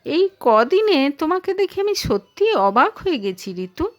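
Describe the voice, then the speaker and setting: A woman's voice: high voice, big pitch swings, unhurried, pitched above the performer's own voice. A woman voicing a wistful, teasing young man, a former lover in an audio story, with a probing manner. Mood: earnest, concerned.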